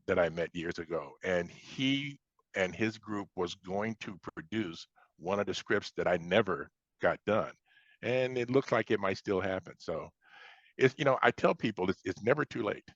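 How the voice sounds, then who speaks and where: A man's voice: low-pitched, fairly bright tone, dry recording, dull audio. An American man in his seventies from Chicago talking casually as an interview guest, hesitating and repeating words as he reminisces. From Chicago, United States. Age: 70s.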